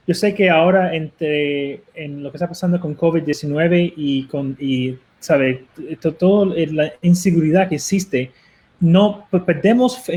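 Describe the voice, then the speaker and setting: A Cuban-accented man with a rather high voice, speaking as a pastor on a talk show. He talks earnestly but haltingly, repeating and restarting words as he searches for them. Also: a little mellow. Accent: Cuban Spanish. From Cuba. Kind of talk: guest answering on a talk show.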